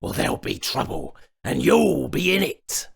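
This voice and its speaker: A bossy storybook goblin king, played by a man, indignant and blustering. The voice is pushed well up high and swings about, with drawn-out, shouty words. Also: huge pitch swings, gravelly, husky, exaggerated voice. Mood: threatening.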